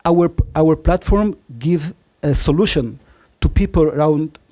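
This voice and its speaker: Startup founder, forties, Israeli-Spanish accent, mellow tone, a bit high for a man, halting delivery. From Argentina. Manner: halting, many fillers, self-joking. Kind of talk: conference pitch.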